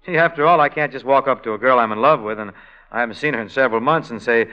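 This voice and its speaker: A man's voice: medium pitch, racing, highs cut off, natural voice. An actor playing a lovesick innkeeper who tells his story wryly and easily, in 1940s radio acting on an old broadcast. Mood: unsure. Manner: wry, easygoing storytelling. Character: lovesick, wry male innkeeper and singer.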